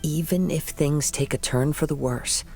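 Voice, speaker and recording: An actor playing a gentle, principled young wandering samurai in an anime game, speaking in a measured, formal, earnest way. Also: male voice, fairly high pitch, over music.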